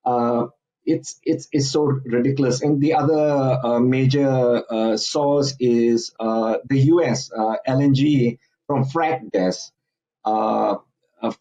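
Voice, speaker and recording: A man from Singapore speaks slowly and haltingly, stopping often on fillers and repeating words as he builds his point. His voice sits at an ordinary pitch, and the remote recording sounds a bit dull and muffled. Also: dark tone. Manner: halting, many fillers and restarts. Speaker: guest commentator. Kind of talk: podcast interview.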